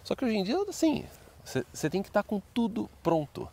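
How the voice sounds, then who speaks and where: A man's voice: high-pitched, sing-song, moderate pace, darkish tone. A Brazilian man co-hosting a casual advice chat outdoors, rambling and often echoing and agreeing. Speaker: video co-host and study-abroad adviser. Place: outdoors in a wooded residential neighbourhood.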